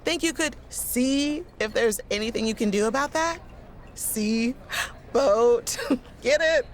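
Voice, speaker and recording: A goofy wrestler who cannot stop giggling at her own puns in a game cutscene. Her voice sits a little high, with faint noise under it. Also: slow pace. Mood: playful. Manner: giggly, playful, pun-loving. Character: goofy joke-cracking female wrestler. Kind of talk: video game cutscene dialogue.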